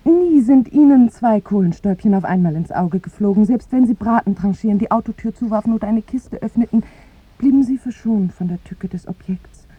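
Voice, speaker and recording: A woman's voice: medium pitch, fairly quick, breathy edge, dry recording, natural voice. An actress playing a tender, lovestruck young woman in a 1950s German radio play, with wistful, lyrical phrasing that often sinks to a whisper. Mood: fretful, tender. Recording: muffled, phone-like 1950s radio recording.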